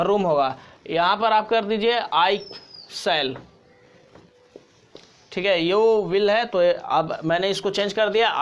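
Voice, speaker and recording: Male English grammar teacher, Indian accent, unhurried step-by-step delivery, instructional, repeats the important points. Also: high-pitched, a bit bright, slight background noise. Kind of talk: step-by-step tutorial explanation.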